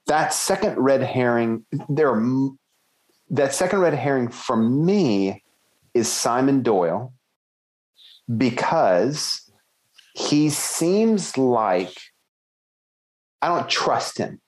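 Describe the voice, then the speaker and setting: A man co-hosting a casual book-club podcast, thinking aloud and hedging as he weighs theories with lively emphasis. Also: medium pitch, slow pace, long pauses, low-quality recording. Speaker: podcast co-host.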